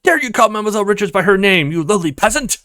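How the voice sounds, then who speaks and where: A man's voice: high voice, expressive, rapid-fire, pushed and bright, clean tone, no echo, studio quality, pitched above the performer's own voice. A man voicing a snobbish, condescending maitre d' in a read-aloud of a video game. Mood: contemptuous. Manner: pompous, condescending, fawning to VIPs. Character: snobbish pompous French maitre d' at a posh restaurant.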